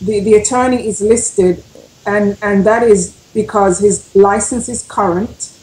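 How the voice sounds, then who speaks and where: Woman explaining stuff on a talk show, mid pitch, echoey, firm and instructive. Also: steady tone, unhurried, a little mellow. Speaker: panelist giving legal advice.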